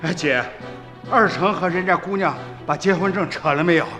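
An actor playing a middle-aged village uncle in a radio drama, urgent and principled, barking orders and exclaiming. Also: male voice, high voice, moderate pace, big-room sound, music in the background, natural voice.

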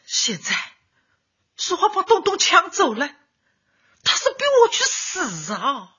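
A woman's voice: very high voice, very animated, unhurried, sharp tone, exaggerated voice. An actress in a radio drama playing a grief-stricken elderly grandmother, tearful and pleading. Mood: despairing. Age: older. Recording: dull lo-fi, music behind.

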